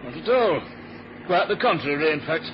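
Pompous upper-class British politician, highish voice, swooping delivery, noisy old radio sound. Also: male voice, big pitch swings, moderate pace, highs cut off. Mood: indignant, flustered. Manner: pompous, self-important, hesitant musing. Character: pompous, vain male British cabinet minister. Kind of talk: 1970s radio drama acting.

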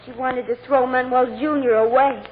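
High childlike little girl played by a woman, innocent, stubborn, earnest, dull old radio drama sound with hiss. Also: steady tone, normal speed, natural voice. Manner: childlike, innocent, plain-spoken. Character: earnest, stubborn six-year-old girl on witness stand. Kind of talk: 1940s radio drama acting.

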